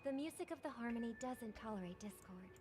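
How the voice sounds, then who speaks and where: A woman's voice: fairly high pitch, lively intonation, fast talker, over music, close to an everyday voice. An actress voicing a poised young woman of a ruling family in a game, polite but coolly stern. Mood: composed.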